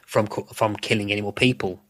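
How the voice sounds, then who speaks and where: A man's voice: fairly low pitch, fairly even intonation, quick pace, fairly bright tone, no echo. A man hosting a casual podcast discussion, working through his thoughts in a rambling, self-correcting way. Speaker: podcast host.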